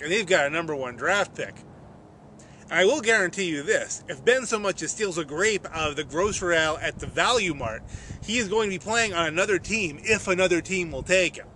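A man's voice: sits high, sharp tone. A middle-aged man giving conversational, emphatic sports commentary to a camera in an open field. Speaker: vlogger. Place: open grassy field outdoors. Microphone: camera at a short distance. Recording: outdoor camera audio with noise.

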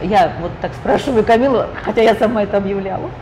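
A woman's voice: medium pitch, fast. Woman hosting an interview, chatty and admiring, recorded from across a room with noise behind. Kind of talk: casual interview questions. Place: lounge with leather sofas and bookshelves, indoors. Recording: noisy room ambience.

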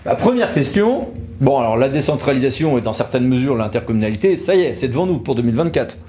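A man's voice: medium pitch, very animated, quick pace, dark tone. A man in his forties with an accent from France, explaining legal news to camera in an informal, conversational way. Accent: French from France. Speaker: presenter and interviewer. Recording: clearly audible background noise.

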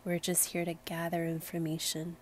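A woman leading a guided meditation in a gentle, soothing, unhurried manner. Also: fairly low pitch, monotone, slight background noise. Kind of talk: slow guided meditation narration.

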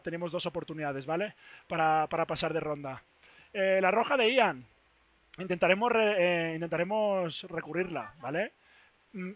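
Hoarse young man, bright and high. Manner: excited, repetitive. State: hoarse, losing his voice. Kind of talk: casual stream chat. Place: studio booth. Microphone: headset mic.

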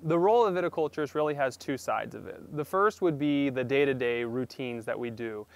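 A man's voice: mid-range voice, a little fast, vocal fry. A young man from California with a Californian American accent, talking casually and easily in an interview about his own life and work. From Sonoma County, California, US. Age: young adult. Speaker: winery viticulturist. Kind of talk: casual on-camera interview for a promotional profile. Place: outdoor garden patio beside a building. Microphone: lapel mic.

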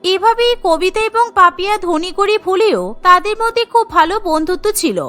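A woman narrating a cartoon story and voicing its characters in an earnest, clear storytelling manner. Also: very high voice, moderate pace, sharp tone. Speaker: narrator and voice actor for several characters. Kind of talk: scripted cartoon narration and dialogue. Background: background music.

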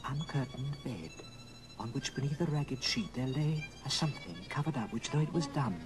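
A man's voice: mid-range voice, natural voice, low-quality recording. A man narrating an old radio ghost drama as a grave storyteller, solemn and dramatic. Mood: solemn, eerie. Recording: old record with music underneath.

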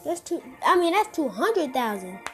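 A teenager giving casual, excitable commentary while playing a mobile game, repeating short phrases and calling out to other players. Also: high voice, normal speed. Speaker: gamer. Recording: game music loud behind voice.

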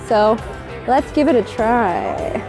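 A woman's voice: on the high side, wildly swooping pitch, slow, poor audio. A woman in her thirties presenting a travel vlog in an enthusiastic, explanatory way. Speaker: travel vlogger, host. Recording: music bed behind the voice. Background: background music.

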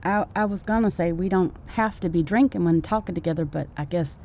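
Lady playing nervous polite girl, hesitant, lowish mellow voice, slight noise behind. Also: brisk, lightly acted. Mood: tentative. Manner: timid, hesitant. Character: shy, nervous, polite, self-doubting woman. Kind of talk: audio drama acting.

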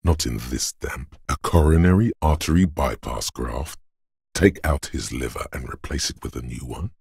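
An actor playing a grave, stern inner voice of endurance in a game, narrating bluntly and deliberately in a husky, gravelly tone. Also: male voice, rumbling low, a little mellow, no echo, studio quality, full character voice. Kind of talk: scripted second-person game narration.

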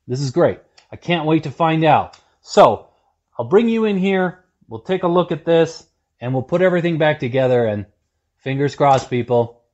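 A man's voice: on the high side, animated, normal speed, darkish tone, highs cut off. Chatty man demonstrating workshop repairs. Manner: chatty, plain-spoken, self-deprecating. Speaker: welding video host. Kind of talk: casual how-to demonstration. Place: indoor workshop with corrugated metal wall.

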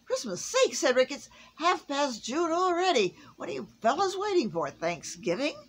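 A woman's voice: medium pitch, lively intonation, moderate pace, a little hiss or hum, voice pushed up, natural voice. A woman voicing an old, jolly Santa Claus worn down by the heat, hearty and exclaiming, in a storybook reading.

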